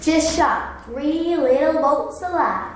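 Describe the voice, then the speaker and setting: A woman's voice: high voice, animated, slow. A young girl, a student reader, narrating a scripted story. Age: child. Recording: very echoey room, faint noise.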